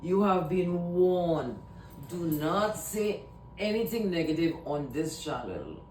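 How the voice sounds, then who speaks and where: Trinidadian woman, unhurried casual vlog talk, echoey room, mic set back, faint rumble. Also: animated, darkish tone, distant mic. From Trinidad and Tobago. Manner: rambling, repeats herself, slow and emphatic. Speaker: vlogger.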